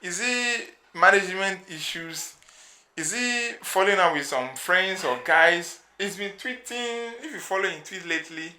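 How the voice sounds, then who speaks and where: A Ghanaian man talks loosely on a podcast, moving between English and Pidgin. His voice sits a little high and sounds bright and edgy. The room around him is echoey. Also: animated, unhurried. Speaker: podcast co-host. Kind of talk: casual chat.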